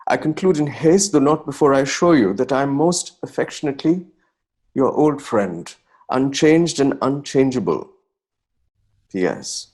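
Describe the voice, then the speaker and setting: A man acting as a young nineteenth-century Bengali poet with an Indian accent, slightly husky in voice. He reads his letters theatrically, self-dramatising and anxious, in ornate, exclamatory phrases from a stage play. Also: medium pitch, animated, normal speed, a little mellow. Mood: distressed, urgent.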